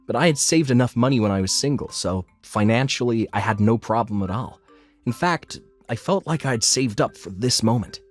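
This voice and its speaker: A man's voice: medium pitch, natural voice. A man acting as an earnest diner owner, narrating his life story in a scripted manga dub. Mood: sincere. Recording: background music under the voice.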